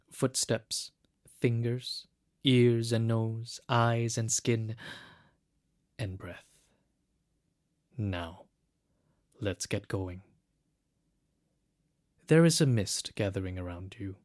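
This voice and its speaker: A man playing a solemn guide through future ruins in an audio drama, speaking in a hushed, deliberate, incantatory way. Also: on the low side. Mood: calm, instructing. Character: solemn male guide to far-future ruins hunting signals. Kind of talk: slow scripted audio-drama narration.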